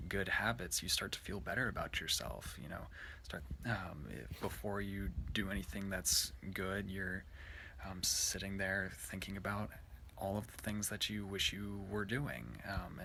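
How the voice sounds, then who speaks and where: Low, creaky-voiced man speaking haltingly. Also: pushed and bright, a little hiss or hum, poor audio. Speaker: speaker at an online worship service. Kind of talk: informal personal reflection to camera.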